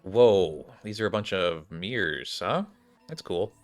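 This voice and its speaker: A male streamer with a low voice gives casual gameplay commentary. He talks in slangy, grumbling asides, and game music plays faintly behind him. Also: lively intonation, slow.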